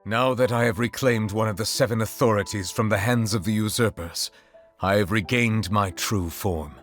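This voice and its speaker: A deep-voiced, solemn dragon lord from a fantasy game played by a man, proud and formal, speaking as a judge of gods over faint music. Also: normal speed, lightly acted. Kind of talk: video game character acting.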